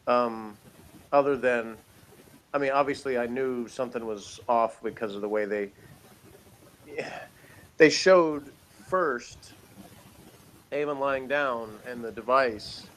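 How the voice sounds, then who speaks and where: A man's voice: mid-range voice, slow pace, pauses often, a bit bright. A man co-hosting a TV review in casual, unscripted talk, rambling and a little hesitant. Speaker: co-host of a TV review show. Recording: faint background noise.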